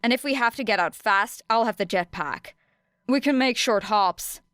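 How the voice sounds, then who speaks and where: A woman's voice: on the high side, moderate pace, fairly bright tone, smooth, no room sound, clean studio sound, pitched above the performer's own voice, lightly acted. A woman playing a tough, capable young girl in an audiobook, blunt, wry and matter-of-fact. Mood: decisive.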